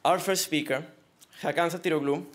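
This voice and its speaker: A man's voice: a little high, fairly even intonation, a little fast. A young man introducing the event and its first speaker, reading a formal script. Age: young adult. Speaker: event host.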